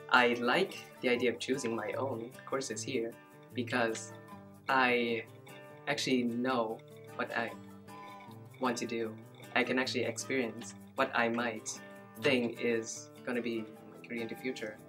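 A young man speaks in a thoughtful, measured way in a library interview. His delivery is very flat, with little rise and fall. Clear guitar music runs behind him. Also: medium pitch. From Vietnam. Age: late teens. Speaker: student. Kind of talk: interview testimonial. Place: school library with bookshelves, indoors. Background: background music.

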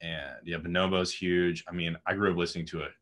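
A young man in his twenties with a raspy voice, chatting casually as a guest musician on a video call, rambling with lots of fillers. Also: sits low, steady tone, quick pace, fairly bright tone. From Austin, Texas. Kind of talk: casual interview chat. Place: home room with music gear. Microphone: video call webcam.

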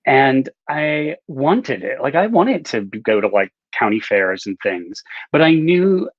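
A man's voice: medium pitch, big pitch swings, unhurried, dry recording. A middle-aged man, a novelist telling personal stories casually on a video call, often restarting his sentences as he goes. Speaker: novelist, interview guest. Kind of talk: casual conversational storytelling. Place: home room with bookshelves, indoors. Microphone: video call, no visible microphone but earbuds. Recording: slightly dull webcam call audio.

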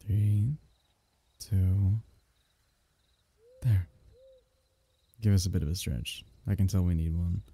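A man voicing a lonely, doting alien symbiote in an intimate, soothing ASMR roleplay, coaxing its host with a low, gravelly tone. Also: steady tone, takes it slowly, pauses often, warm tone, full character voice. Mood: gentle coaxing.

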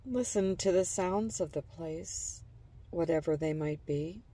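A woman narrating a guided imagery relaxation in a calm, gentle, soothing manner, letting phrase endings trail softly. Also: lowish voice, unhurried, far from the mic.

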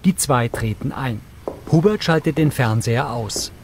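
A man's voice: medium pitch, animated, normal speed, slight background noise. A man reading scripted audio description, describing scenes in short present-tense sentences. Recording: music behind the narration.

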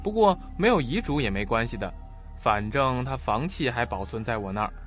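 A man's voice: mid-range voice, expressive, brisk, a bit bright, muffled recording, lightly acted. A man playing a greedy, crooked lawyer in a suspense radio play, with an oily, ingratiating manner. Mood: evasive. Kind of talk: audio suspense drama acting. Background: music.

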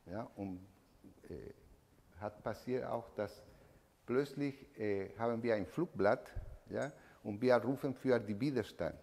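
A man in his sixties from Chile with a Chilean Spanish accent, giving a personal testimony to an audience, searching haltingly for words in a language not his native Spanish. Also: medium pitch, darkish tone. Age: 60s. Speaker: eyewitness speaker giving a testimony. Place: indoor event room, standing at a lectern. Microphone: microphone on a stand. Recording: reverberant hall.

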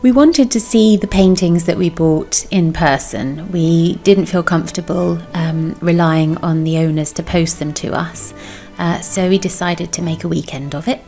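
Woman, thirties, chatty vlog narration, creaky, lowish pitch, soft music behind. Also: moderate pace, few pauses. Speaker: vlogger. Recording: background music.

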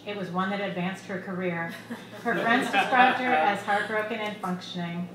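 A middle-aged woman, an author reading her short story aloud into a stand microphone at a live literary reading. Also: mid-range voice. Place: small bar room, live reading. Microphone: microphone on a stand, close. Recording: echoey room, clear background noise.

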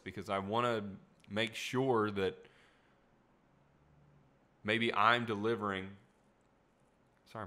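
A young podcast host thinks out loud in a small room at home, full of fillers and asides. His voice sits on the low side but has a bright, forceful edge. It sounds a little worn from a lot of talking. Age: young adult. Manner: rambling, reflective, many fillers and asides. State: voice tiring. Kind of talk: casual solo podcast talk. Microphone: studio mic in front of him.

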